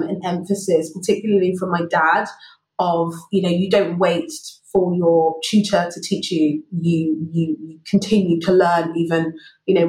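A woman's voice: on the low side, a little mellow. A woman from the UK, a guest in a podcast interview, talking reflectively with many "you know"s. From United Kingdom. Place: remote call. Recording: roomy remote recording.

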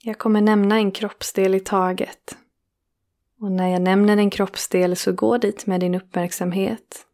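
A woman leading a guided relaxation meditation, speaking slowly and soothingly with long pauses between phrases. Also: medium pitch, little pitch movement, darkish tone.

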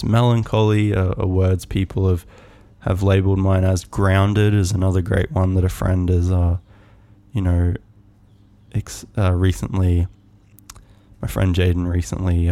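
A man hosting a podcast, musing on his own in a calm, soft voice, rambling with many fillers and restarts. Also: low voice. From Australia. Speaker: podcast host. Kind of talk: casual solo musing.

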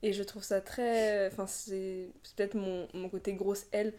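A woman's voice: mid-range voice, little pitch movement, measured pace, dark tone. A woman in her twenties, co-hosting a podcast, talking in a reflective, rambling way with many fillers. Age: 20s. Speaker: podcast co-host. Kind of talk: casual chat.

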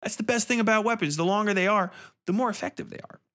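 A man's voice: high-pitched, fast talker, a bit bright. A man hosting a podcast gives a casual, profane film review in a sarcastic, irreverent, rambling way, leaning hard on phrases for emphasis.

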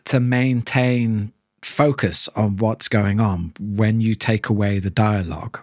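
Low-voiced man in thoughtful discussion, close dry sound. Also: fairly even intonation, slow, dry recording. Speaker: podcast co-host. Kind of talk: casual discussion of an audio drama.

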